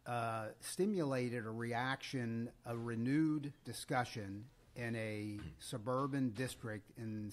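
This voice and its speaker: A middle-aged man with an American accent and a mid-range voice. He speaks as a podcast co-host, telling a story in a conversational, deliberate way, pausing as he goes. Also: medium pitch.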